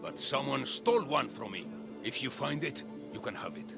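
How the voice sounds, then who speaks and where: A gravelly, bitter collector. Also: male voice, medium pitch, lively intonation, moderate pace, music in the background. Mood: brooding. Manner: terse, bitter, clipped sentences. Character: gruff middle-aged male armor collector, guilt-haunted. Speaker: game character. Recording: orchestral game score underneath.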